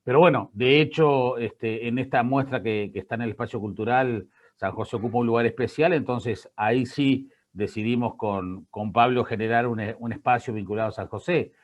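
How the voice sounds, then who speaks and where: A man's voice: medium pitch, normal speed. Reflective man on a video call. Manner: reflective, personal. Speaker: artist guest. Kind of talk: panel discussion.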